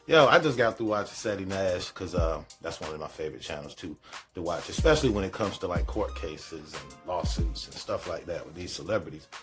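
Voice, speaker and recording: A man's voice: low voice, animated, fairly quick, over music. A man hosting a reaction show, giving casual, slangy commentary and repeating phrases for emphasis.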